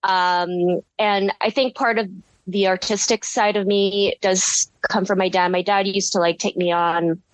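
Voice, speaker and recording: A woman's voice: mid-range voice, fairly even intonation, unhurried, dry recording, low-quality recording. Guest ceramicist, woman in her thirties, chatty and giggly, recorded dry on a close mic. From United States. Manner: rambling, filler-heavy, giggly. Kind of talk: casual interview.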